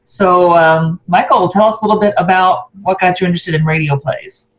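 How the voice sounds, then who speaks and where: A woman's voice: lowish voice, normal speed. A woman hosting an informal interview show, asking questions. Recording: dull lo-fi sound.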